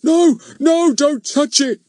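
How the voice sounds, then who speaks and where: A man voicing a sad, stubborn old man who speaks slowly in a high, quavering voice. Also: dark tone, dry recording, clean studio sound, heavily acted. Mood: weary. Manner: slow, high and quavering, mournful.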